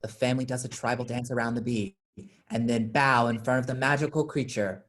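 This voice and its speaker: A woman's voice: extremely low pitch, steady tone, brisk. A woman narrating a staged reading and moderating its informal question session. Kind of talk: reading stage directions, then informal audience Q&A.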